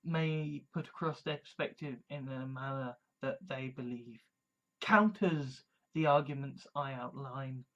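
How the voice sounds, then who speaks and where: A man from England, giving a measured, deliberate monologue full of restarts and fillers. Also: medium pitch, pushed and bright, lo-fi sound. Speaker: video commentator. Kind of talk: casual monologue.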